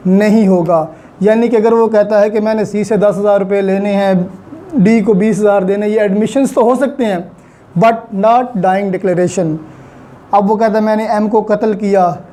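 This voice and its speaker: A man with a Pakistani Urdu accent giving a recorded law lecture, spelling out definitions slowly and deliberately. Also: high-pitched, warm tone.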